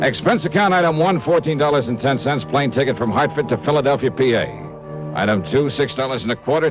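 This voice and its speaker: A man's voice: mid-range voice, close to an everyday voice. An actor playing a wry, hard-boiled male insurance investigator who narrates his case in a 1950s radio drama, with a dry, clipped, sardonic delivery. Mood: matter-of-fact. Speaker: narrator and lead. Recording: dull vintage radio broadcast with music.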